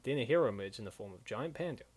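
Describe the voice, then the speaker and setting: A man's voice: medium pitch, expressive, rapid-fire, a little mellow, slightly rough. A man co-hosting a casual reaction chat, talking in a slangy, jokey way. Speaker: co-host and comic reader.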